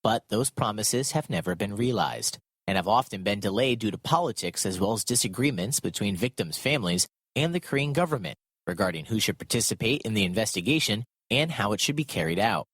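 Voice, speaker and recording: A man reporting and narrating a scripted radio news piece in a measured, formal read. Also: lowish voice, lively intonation, a bit bright, breathy edge, dry recording.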